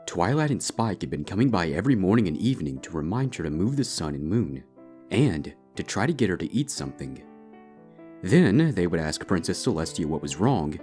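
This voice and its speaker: A man giving a dramatic scripted narration of a dark fantasy story. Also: low-pitched. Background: background music.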